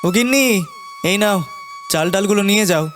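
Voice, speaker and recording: A man playing an anxious, high-voiced poor farmer. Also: animated, brisk, a bit bright, faint music behind. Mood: resigned, gentle. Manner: anxious, hesitant, repeating words. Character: worried, poor, gentle village farmer and father. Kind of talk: cartoon voice acting.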